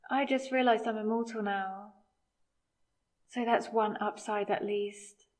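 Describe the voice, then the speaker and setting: A woman playing a newly turned vampire, sarcastic and rattled, in a conversational, exasperated audio roleplay with hesitant pauses. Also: mid-range voice, no room sound, lightly acted. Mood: wry musing. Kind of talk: scripted ASMR audio roleplay.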